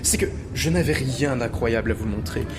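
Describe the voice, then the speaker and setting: An actor playing a sly, cheeky thief in an audio drama, glib and informal with a theatrical streak. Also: male voice, mid-range voice, normal speed, natural voice. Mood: flattering, showy. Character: sly, cheeky male thief, flattering and self-serving.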